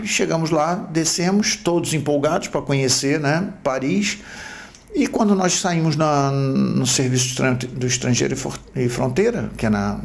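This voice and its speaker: A middle-aged man from Rio with a Brazilian Portuguese accent, a podcast host telling his life story in a reflective, halting way. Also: on the high side, vocal fry. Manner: reflective, halting with repeated restarts. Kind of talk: personal storytelling.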